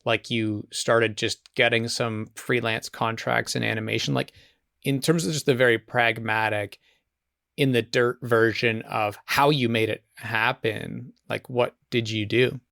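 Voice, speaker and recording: A man's voice: medium pitch, fairly even intonation, unhurried, creaky, pushed and bright, no room sound, clean studio sound. A man hosting a podcast, asking questions in a loose, rambling way with many fillers. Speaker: podcast host. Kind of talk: casual interview talk.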